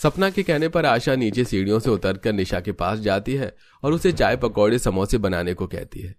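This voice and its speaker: A man's voice: fairly low pitch, lively intonation, normal speed, no room sound. A man reading scripted narration for a cartoon family story.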